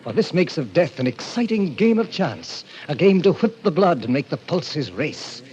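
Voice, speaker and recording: An actor playing a suave, sinister middle-aged club president, theatrical and courtly, in 1940s radio drama style. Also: male voice, on the high side, sing-song, normal speed, darkish tone. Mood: smoothly sinister. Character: suave, sinister fifty-year-old president of a death club. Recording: muffled old-time radio recording.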